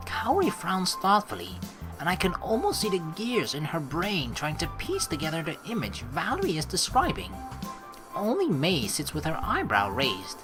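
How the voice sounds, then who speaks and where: A woman's voice: on the low side, wildly swooping pitch, normal speed, music in the background. A young woman doing casual, sweary let's play commentary and reading a visual novel's narration aloud. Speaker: let's player.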